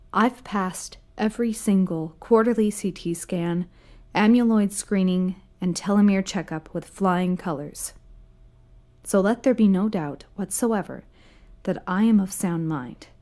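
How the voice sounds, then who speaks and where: A woman playing a matter-of-fact space freighter captain, giving a measured official report in a scripted audio drama. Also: fairly low pitch, warm tone, natural voice. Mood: formal, firm. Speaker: narrator of a fiction podcast. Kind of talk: scripted first-person audio drama narration.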